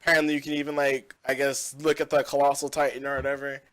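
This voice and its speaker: A man's voice: mid-range voice, little pitch movement, fast, a bit bright. A young man hosting a casual gaming podcast, rambling and opinionated with lots of fillers. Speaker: podcast host. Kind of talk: casual chat.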